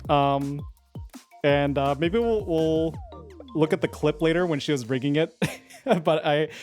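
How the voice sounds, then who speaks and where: A giggly, chatty streamer talks casually in a mid-range voice, rambling with plenty of filler words. Soft music plays quietly underneath. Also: mid-range voice, clear, resonant tone. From United States. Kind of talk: casual live-stream chat.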